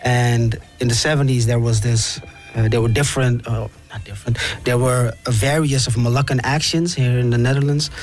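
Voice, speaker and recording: A man's voice: medium pitch, steady tone, moderate pace. A man hosting a radio interview, talking conversationally and explaining things to the listeners at home. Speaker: radio host. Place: radio studio. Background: faint background music.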